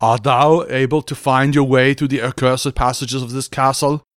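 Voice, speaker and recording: A man acts out a brash barbarian warrior from a fantasy audiobook. He declaims in archaic phrases, loud and blunt. The recording is close and dry. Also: mid-range voice, steady tone, moderate pace, dry recording, lightly acted.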